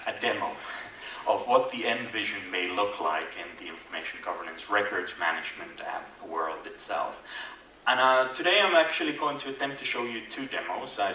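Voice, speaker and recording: A man's voice: fairly low pitch, sharp tone. Finnish man with an Irish tinge. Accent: Finnish, with Irish influence. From Finland. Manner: casual, self-deprecating, frequent fillers and restarts. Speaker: conference speaker. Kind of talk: conference presentation. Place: large conference hall. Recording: echoey hall, distant mic, faint noise.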